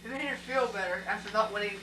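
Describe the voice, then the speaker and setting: A woman's voice: medium pitch, fast, natural voice. A woman playing a strict, matter-of-fact father in an amateur puppet show. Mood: grateful. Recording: echoey, distant, noisy live recording.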